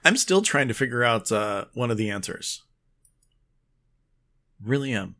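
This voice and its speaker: Laid back podcast cohost dude, agrees a lot, kinda halting, normal pitch. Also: male voice, sing-song, pushed and bright. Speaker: podcast co-host. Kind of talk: casual podcast chat.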